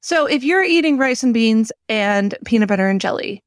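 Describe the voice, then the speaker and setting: A woman's voice: fairly high pitch, animated, moderate pace, no room sound, studio quality. A woman co-hosting a podcast, giving practical tips in articulate, emphatic casual chat. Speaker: podcast co-host.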